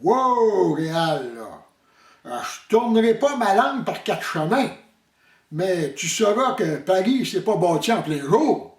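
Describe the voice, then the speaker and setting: A man's voice: high voice, sing-song, takes it slowly, exaggerated voice. A man voicing a blunt male hockey player who declaims his point, in a roomy room with a distant mic. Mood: emphatic.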